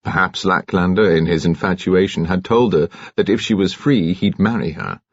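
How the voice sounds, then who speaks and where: A man's voice: low voice, normal speed, no room sound, lo-fi sound. A British man reading a detective novel aloud as scripted, dramatic audiobook narration.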